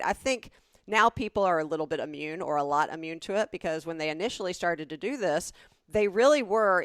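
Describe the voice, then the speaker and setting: Lower-voiced woman explaining things on a podcast. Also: clean tone, dry recording, clean studio sound. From Southern US. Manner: explains at length, keeps asking "right?". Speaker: podcast co-host. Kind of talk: casual conversational explaining.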